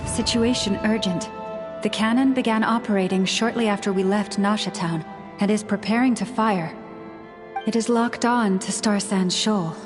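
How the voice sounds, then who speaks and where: A composed, earnest woman narrating her message in a game. Her mid-pitched, formal voice carries long reverb over clear music. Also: normal speed. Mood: grave, urgent. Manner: formal, grave. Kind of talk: video game voice acting.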